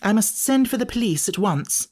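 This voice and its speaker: A man's voice: high voice, expressive, moderate pace, darkish tone, pitched above the performer's own voice. Man voicing a genteel, firm but anxious mother. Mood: distracted. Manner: proper, questioning, motherly authority. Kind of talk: audiobook character acting.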